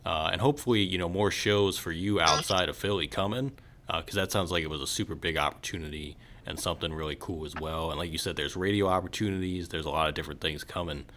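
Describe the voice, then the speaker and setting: A man's voice: low-pitched, quick pace, fairly bright tone. A man hosting a podcast, speaking in a casual, conversational way to a guest and listeners. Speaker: podcast host.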